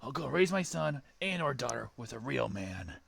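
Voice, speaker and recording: Man voicing a brooding edgy hedgehog, low deadpan mutter. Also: normal speed, vocal fry, crowd noise.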